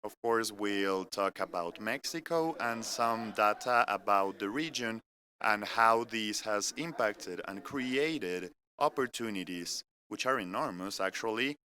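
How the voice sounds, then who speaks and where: A man's voice: on the low side, bright, forceful tone, smooth. Earnest French-accented man presenting. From France. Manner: earnest, measured presenter who walks through an agenda point by point. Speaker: corporate keynote speaker. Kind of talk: live-streamed business presentation. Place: company innovation center studio.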